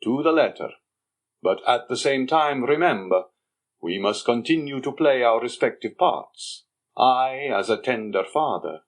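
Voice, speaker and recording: A man voicing an aging schemer who poses as a dignified father, reading in a measured, stately way. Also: mid-range voice, lively intonation. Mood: guarded. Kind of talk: audiobook character reading.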